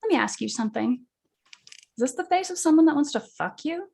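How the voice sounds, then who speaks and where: A woman's voice: high voice, animated, brisk, gravelly, mellow. A woman playing a blunt, irritable radio DJ in a film script table read, curt and dismissive. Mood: irritated. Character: blunt, irritable female bar patron and radio DJ.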